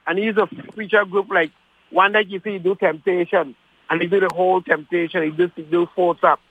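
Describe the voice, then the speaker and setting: A man's voice: sits high, little pitch movement, brisk, slightly rough, phone-quality sound. A man recalling his radio days in a chatty way, with lots of yeahs between stories. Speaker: guest, former radio broadcaster. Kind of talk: casual reminiscing chat.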